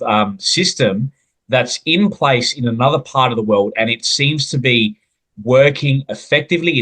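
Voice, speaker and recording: A man's voice: mid-range voice, fairly bright tone, rough recording. A man with an Australian accent hosting a podcast interview, speaking in an earnest, wordy way. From South Australia. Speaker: podcast host.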